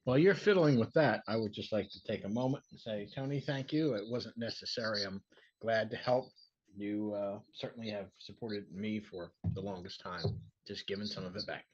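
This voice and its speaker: A man with a low, husky voice speaks in a measured, gracious way as a guest on a livestream call. His audio sounds muffled and distant. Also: a little mellow. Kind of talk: casual chat.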